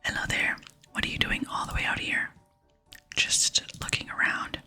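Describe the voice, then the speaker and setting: A woman voicing a polite, earnest game adventurer in a breathy whisper. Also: moderate pace, sharp tone, faint music behind. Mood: friendly, curious. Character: eager, polite young adventurer, the game's player hero. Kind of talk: whispered ASMR reading of game dialogue.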